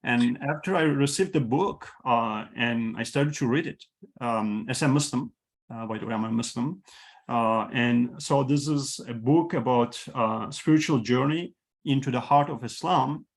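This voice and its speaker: Gravelly man with a Turkish background hosting. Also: medium pitch. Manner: halting, many fillers and restarts. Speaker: host, institute president. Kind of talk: welcoming talk on a video call. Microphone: video call. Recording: video call audio.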